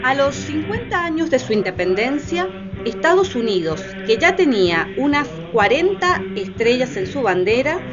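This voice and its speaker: A woman's voice: high voice, big pitch swings, moderate pace. A woman narrating a scripted radio theatre history piece. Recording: dull audio under loud music.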